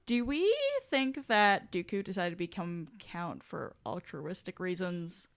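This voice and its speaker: A woman's voice: fairly low pitch, animated, measured pace, smooth. A woman co-hosting a casual podcast discussion, thinking aloud with hesitant, thoughtful phrasing. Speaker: podcast co-host.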